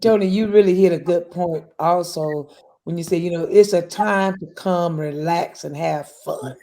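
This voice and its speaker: A woman's voice: lowish voice, normal speed, warm tone. A woman talking in an encouraging, conversational way as a guest in a group interview about a show. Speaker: stage play guest.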